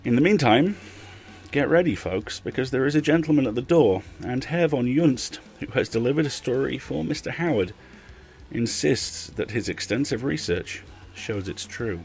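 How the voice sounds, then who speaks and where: A man's voice: mid-range voice, animated, normal speed, creaky, darkish tone, soft background music. A man hosting a podcast, introducing things in a conversational, wry and enthusiastic way. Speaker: podcast host.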